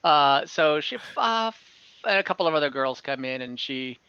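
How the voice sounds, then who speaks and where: A man narrating scenes as game master of an online tabletop game, halting often and restarting with fillers as he describes what happens. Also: a little high, lively intonation, sharp tone, clear, resonant tone, no echo, lo-fi sound.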